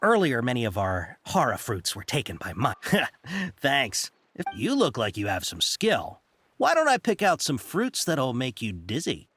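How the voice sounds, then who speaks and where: Bright, animated young male shop clerk. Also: mid-range voice, measured pace, breathy edge, full character voice. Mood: courteous, businesslike. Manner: courteous, apologetic, salesman-like. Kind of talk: video game voice acting. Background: game music.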